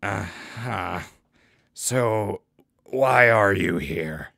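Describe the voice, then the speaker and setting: A man playing a wry wasteland radio host in an audio drama, dry in manner, with a gravelly voice. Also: fairly low pitch, animated, drawn-out words, bright, forceful tone, heavily acted. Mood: sardonic, wary.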